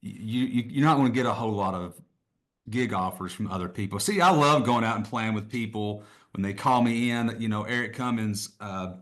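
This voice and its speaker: A man's voice: on the low side, brisk, fairly bright tone. A middle-aged man, a guest musician, chatting casually and rambling a little, laughing often. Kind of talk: casual conversation.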